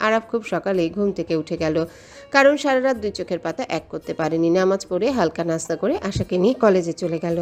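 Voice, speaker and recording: A woman's voice: fairly low pitch, fast. A woman reading scripted romantic story narration as the narrator.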